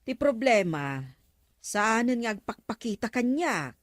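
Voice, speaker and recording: A woman's voice: on the high side, sing-song, unhurried, no echo, studio quality. A woman playing an exasperated village councilwoman and creditor in a comedy audio drama, complaining with an Ilocano accent.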